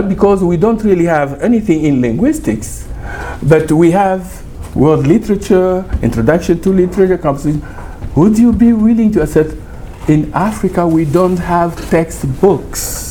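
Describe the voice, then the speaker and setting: A middle-aged man from Congo with a Congolese accent, a university professor giving a lively, informal talk to a small group, often laughing and switching languages. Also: on the high side, a little hiss or hum, rough recording. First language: Chiluba and Lingala.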